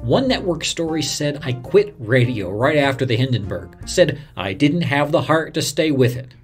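A man's voice: medium pitch, very animated, moderate pace, soft background music, lightly acted. A man playing a retired radio newsman in a scripted first-person reminiscence. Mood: matter-of-fact. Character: retired male radio newsman recalling his career.

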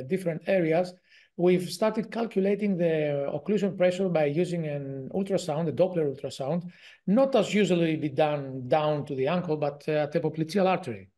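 A man in his forties speaks English with a strong Greek accent, stopping often on fillers and restarting his sentences. He is heard over a video call from a home office, and his voice sits fairly high for a man. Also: moderate pace, mellow. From Greece. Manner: hesitant, many fillers and restarts. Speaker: guest researcher. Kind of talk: casual interview talk.

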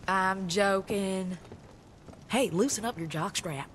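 A woman's voice: mid-range voice, slow, a little hiss or hum, close to an everyday voice. A woman playing a brash, playful young gunsmith in a video game, teasing and bossy in a slangy way. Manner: cheeky, slangy, animated. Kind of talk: video game cutscene acting. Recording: loud music underneath.

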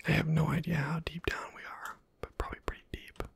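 A man giving ASMR gameplay commentary in a soft half-whisper, musing casually to himself in a hushed way. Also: a little high, level tone, fast, creaky. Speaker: video game streamer.

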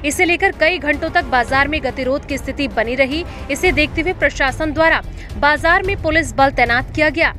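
A woman reading a scripted news report as a voice-over in a formal, measured way. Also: sits high, over music.

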